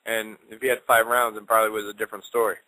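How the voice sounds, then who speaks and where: Easygoing male guest on a radio show, bright and forceful tone, dry close sound. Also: on the low side, flat delivery, very fast, no echo, rough recording. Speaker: guest fighter. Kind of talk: radio interview.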